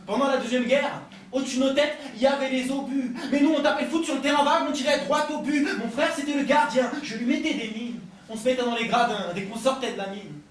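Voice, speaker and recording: A man's voice: very high-pitched, fast talker, recorded from a distance. A man from France performing slam poetry, declaiming rhymed first-person verse rhythmically at a live event. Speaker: slam poet at a poetry competition. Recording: roomy live event captured from afar, faint noise.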